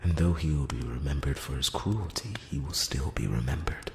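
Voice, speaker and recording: A man voicing a solemn, probing elf emissary in a breathy whisper, speaking formally and deliberately in a close-up ASMR fantasy roleplay. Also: a bass voice, expressive, reverberant, a little hiss or hum. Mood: musing, solemn. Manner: slow, deliberate, formal, with long pauses. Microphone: close-up microphone.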